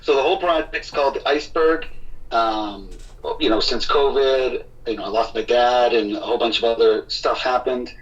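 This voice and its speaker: A man's voice: mid-range voice, normal speed. A man, a singer-songwriter guest, talking casually by phone in an earnest way with many fillers. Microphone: phone line. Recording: dull, distant phone line.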